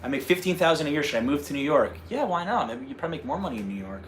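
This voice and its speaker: A man's voice: fairly high pitch, animated, very fast, a little hiss or hum. A man with a slight North Jersey accent, hosting a casual travel-channel livestream and answering viewer questions. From North Jersey, USA. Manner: chatty, answers viewer questions, restarts and fillers.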